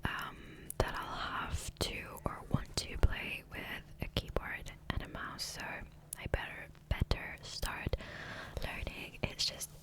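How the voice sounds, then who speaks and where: A woman whispering breathily in a casual, rambling ASMR style, close to the microphone. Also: takes it slowly. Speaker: ASMR gaming video creator. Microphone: close USB condenser microphone.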